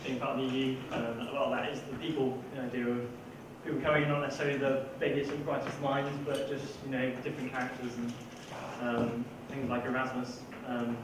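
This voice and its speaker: Man, hesitant informal remark, flat tone, echoing hall. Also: mid-range voice. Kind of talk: debate comment. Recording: echoey hall, far from mic, noise.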